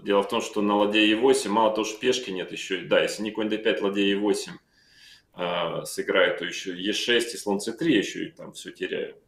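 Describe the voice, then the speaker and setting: A middle-aged man on a video call from home, calmly walking through a chess game move by move with many fillers. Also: fairly low pitch, fairly quick. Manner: calm, reflective, calling out moves with many fillers. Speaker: chess player recalling his own game. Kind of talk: analytical interview talk. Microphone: video call microphone. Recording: roomy video-call audio.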